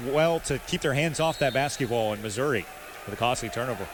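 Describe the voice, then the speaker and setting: A man's voice: mid-range voice, expressive, fast talker. An American man giving conversational, opinionated live basketball analysis as a radio color commentator at courtside. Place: courtside in a sports arena. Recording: live arena broadcast with clear background noise.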